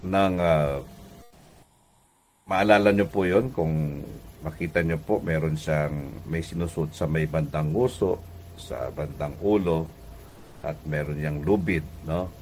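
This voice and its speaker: A man's voice: a bass voice, slow, long pauses, darkish tone, a little hiss or hum. A Filipino man preaching as a pastor and radio host, speaking conversationally and earnestly, exhorting listeners with polite address.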